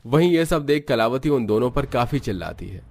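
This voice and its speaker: A man's voice: mid-range voice, expressive, a little fast, creaky, darkish tone. A man working as narrator, reading a scripted Hindi cartoon family story.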